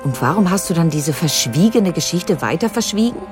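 An actress playing an insistent, probing adult daughter with a pointed manner in a naturalistic radio drama. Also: female voice, lowish voice, animated, a little fast, music in the background, close to an everyday voice. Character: insistent, accusing grown daughter digging up family past.

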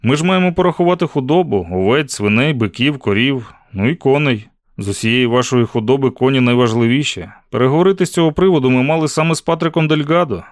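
A man's voice: mid-range voice, quick pace, dry recording, close to an everyday voice. An earnest young man on a mission in a storybook, voiced by a male narrator. He speaks politely, with boyish charm, a little above a middle register. The sound is dry and close. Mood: courteous, matter-of-fact.

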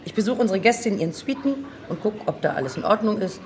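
A woman's voice: medium pitch, animated, fast, darkish tone, a little hiss or hum, natural voice. A woman playing a chatty but discreet hotel chambermaid in an audio play. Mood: brisk, obliging.

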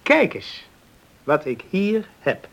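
An actor playing a friendly island beachcomber in a radio play, earnest and sometimes hesitant. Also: male voice, high voice, big pitch swings, slow, creaky, a bit bright, highs cut off, full character voice. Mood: earnest, intrigued. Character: friendly male island beachcomber, earnest storyteller, shy suitor.

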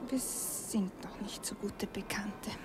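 An actress playing a coy, nervous young chambermaid with a Viennese accent and a fretful, breathy manner in a radio play. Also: female voice, mid-range voice, fairly even intonation, slow, whispered, close to an everyday voice. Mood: coy, jealous. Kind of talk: 1960s German radio play acting. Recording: 1960s radio drama with music behind.

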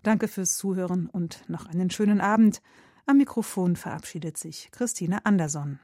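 Female radio host, soft dark tone, dry studio sound, scripted announcements. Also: lowish voice, moderate pace, no room sound.

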